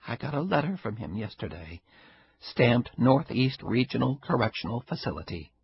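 A stubborn, sharp-tongued old grandmother, as a male storyteller puts her on, speaking in a husky, breathy voice pitched high with lively swoops. The sound is dull and lo-fi. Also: moderate pace, heavily acted. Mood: resigned. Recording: dull lo-fi recording.

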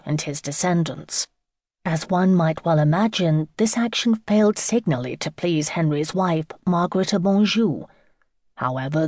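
Low-voiced woman narrating history. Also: a little mellow, no echo, clean studio sound. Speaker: audiobook narrator. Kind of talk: scripted history audiobook narration.

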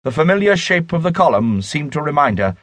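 A man narrates a classic novel in a husky voice. His pitch moves widely through each sentence, and the recording is close and dry. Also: big pitch swings, a little fast, fairly bright tone, no echo. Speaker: audiobook narrator. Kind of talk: scripted literary narration.

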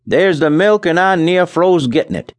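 A man's voice: high-pitched, expressive, moderate pace, no room sound, voice pushed up. A man voicing a blunt, grumbling rural farmer with folksy speech.

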